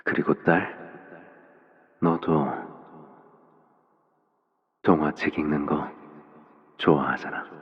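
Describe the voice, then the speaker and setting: An actor playing a soft-spoken, controlling father in a video game, speaking in a gentle, deliberate, coaxing way with quiet menace. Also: very deep voice, fairly even intonation, husky, lo-fi sound, heavily acted. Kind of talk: scripted game voice acting.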